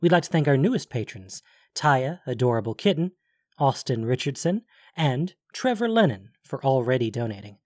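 A man's voice: mid-range voice, big pitch swings, moderate pace, a little mellow, dry recording, studio quality. A man reading scripted fantasy fiction as the narrator of an audio story.

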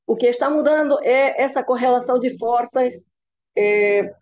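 A woman's voice: on the high side, moderate pace, darkish tone, a little hiss or hum. A young woman from Cuba with a Hungarian-Cuban accent, a professor giving an interview, speaking hesitantly with many filler sounds over a webcam. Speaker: professor and researcher, interview guest. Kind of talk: interview answer. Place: room with curtains indoors. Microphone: webcam on video call. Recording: slightly dull webcam audio.

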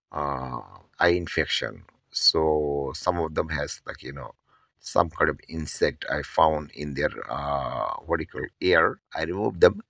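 A man narrating his kitten rescue vlog from behind the camera, speaking halting English full of fillers and restarts, outdoors at a work site. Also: extremely low pitch, gravelly, fairly bright tone, no echo. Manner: halting non-native English with many fillers. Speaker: cat rescuer and vlogger. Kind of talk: casual off-camera narration over footage.

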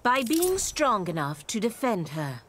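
An actress voicing a poised, proud young warrior woman in a video game, measured, formal and coolly defiant. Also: a little high, wildly swooping pitch, fairly bright tone, a little hiss or hum, exaggerated voice. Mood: proud, firm. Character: poised, proud young female warrior, loyal and sharp-tongued. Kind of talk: video game character dialogue.

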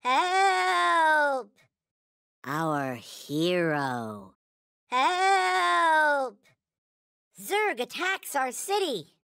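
A man voicing a small, squeaky, childlike toy alien in a video game, earnest and worshipful, with stilted, formal phrasing. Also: wildly swooping pitch, very slow, gravelly, pauses often, sharp tone, no room sound, clean studio sound, heavily acted. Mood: alarmed. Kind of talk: video game character voice lines.

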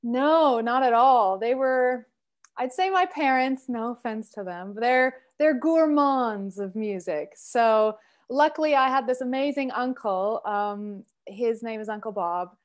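A woman in her thirties from Canada, a singer and sax player, chatting as a guest on a video call from home in an expressive, storytelling way. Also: a little high, measured pace. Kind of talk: casual interview chat. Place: home room, indoors.

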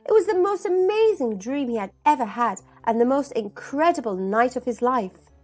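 A woman's voice: sits high, normal speed, husky. A middle-aged woman hosting and reading a children's storybook aloud, expressively. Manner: expressive, addressing children directly. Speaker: storyteller and host. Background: background music.

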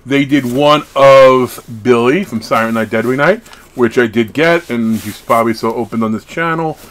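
A man's voice: mid-range voice, moderate pace, a bit bright. A man in his thirties chatting casually through an unboxing, rambling and breaking off into asides as a hobby vlogger. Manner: rambling, self-interrupting asides. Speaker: horror collectibles vlogger. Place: small wood-panelled room at home, indoors.

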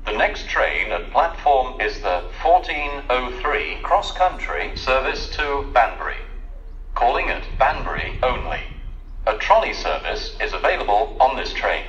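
A man's voice: medium pitch, big pitch swings, unhurried, fairly bright tone, highs cut off, slight background noise. A man announcing trains in formal, segmented pre-recorded phrases over a public address speaker. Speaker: station announcer. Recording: distant, echoing station PA recording.

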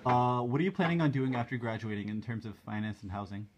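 A man asking a series of interview questions, brisk and polite. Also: medium pitch, fairly even intonation, muffled recording, background noise.

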